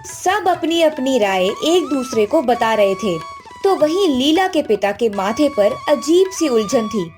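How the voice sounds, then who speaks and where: A woman's voice: sits high, big pitch swings, a little slow. A woman narrating a scripted cartoon story as the storyteller. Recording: faint background music.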